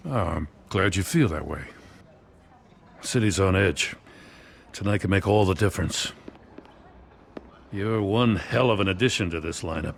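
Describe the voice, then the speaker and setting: An actor playing an earnest, dutiful middle-aged police commissioner in a video game, plain-spoken and sincere. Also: male voice, low voice, a little slow, vocal fry, long pauses. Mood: earnest, reflective. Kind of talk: video game dramatic acting. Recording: faint background ambience noise.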